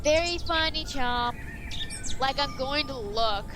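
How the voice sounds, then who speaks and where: A woman's voice: high-pitched, slow. A woman playing a sarcastic, foul-mouthed schoolchild in a radio drama. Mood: sarcastic, incredulous.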